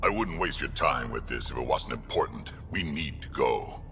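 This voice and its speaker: An actor playing a gruff, blunt old alien warrior in a video game cutscene, with a gravelly voice. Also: male voice, rumbling low, lively intonation, moderate pace, pushed and bright, a little hiss or hum, full character voice. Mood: grave, urgent. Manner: terse, blunt.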